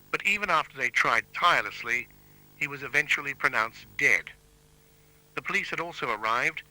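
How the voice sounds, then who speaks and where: A man playing a solemn television continuity announcer, reading a scripted emergency statement in a formal, measured way. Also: medium pitch, sharp tone, poor audio.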